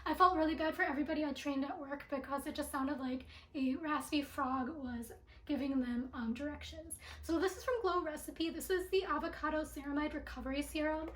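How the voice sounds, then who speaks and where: A young woman with a voice raspy from allergies, chatting through a beauty product review with lots of ums and likes. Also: high-pitched, fairly quick. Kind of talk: casual product review vlog. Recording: echoey room.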